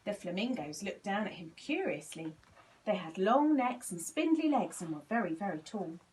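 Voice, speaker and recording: A woman reading a children's storybook aloud in an expressive, animated way, acting out the dialogue. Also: medium pitch, moderate pace, recorded from a distance, slight background noise. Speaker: volunteer story reader.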